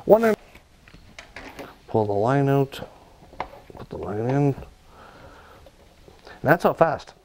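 A man giving hands-on instruction in a workshop, talking viewers through his work in a relaxed, practical way while he works at the bench. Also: medium pitch, slow pace, long pauses, slight background noise, low-quality recording. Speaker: aircraft builder demonstrating.

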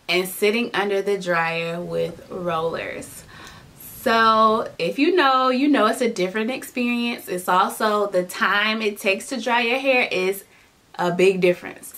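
A woman's voice: mid-range voice, fairly bright tone. A young woman chatting to camera in a playful vlog, acting out salon moments. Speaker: beauty vlogger. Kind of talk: casual vlog chat to camera. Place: indoors in front of a decorated backdrop wall.

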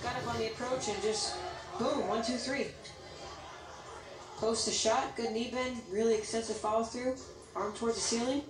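A woman's voice: fairly high pitch, steady tone, normal speed, long pauses, echoey room, low-quality recording. A woman giving technical color commentary on a youth bowling match. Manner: technical, explanatory analysis. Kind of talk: sports broadcast analysis.

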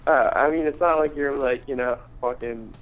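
A man's voice: mid-range voice, normal speed. A man chatting casually on a phone line in a hesitant, hedging way. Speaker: caller on a chat line. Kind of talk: casual chat. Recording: dull lo-fi phone call.

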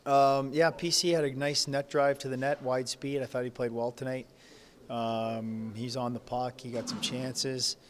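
A man in his forties, a head coach, answering post-game press questions in a halting, subdued way with many fillers. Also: mid-range voice, level tone. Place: press room backdrop. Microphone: handheld reporter mics held close.

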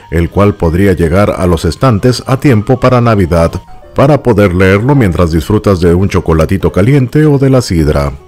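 Male narrator, very low register, gossipy news voice-over with teasing jumps in pitch. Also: darkish tone. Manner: jumps up in pitch on aside phrases, uses cute diminutives. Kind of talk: tabloid news narration with playful asides.

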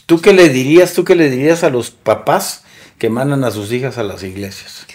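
A man hosting a podcast interview who mostly chimes in with short agreements and quick questions on a stand microphone. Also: mid-range voice, darkish tone. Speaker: podcast host. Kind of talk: interview chat. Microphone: podcast mic on a stand.

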